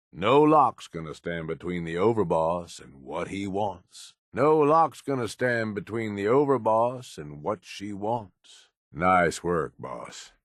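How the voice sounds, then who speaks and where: Gruff low american raider right-hand man for a game, cynical but loyal, swings around a lot, dry close sound. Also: dry recording, heavily acted. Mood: impressed. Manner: blunt, folksy, drawling slang with swearing. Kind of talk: video game companion voice lines.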